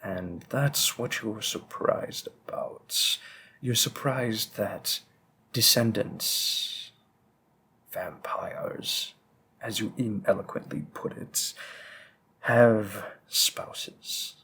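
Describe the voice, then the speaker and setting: Slow, menacing centuries-old vampire played by a man. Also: fairly low pitch, sharp tone, slight background noise, exaggerated voice. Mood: musing, condescending. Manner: deliberate, pedantic, condescending. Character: centuries-old male vampire, refined, menacing, protective husband. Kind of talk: scripted roleplay monologue addressed to the listener.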